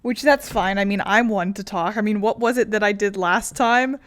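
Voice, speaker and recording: A woman's voice: medium pitch, normal speed. A woman streamer commenting casually on a game, rambling and self-deprecating.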